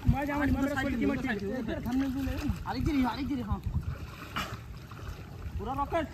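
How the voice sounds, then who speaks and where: A young man, a friend of the vlogger, shouting playful banter while out in a village pond. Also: very high-pitched, unhurried, heavy background noise. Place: outdoors in a pond.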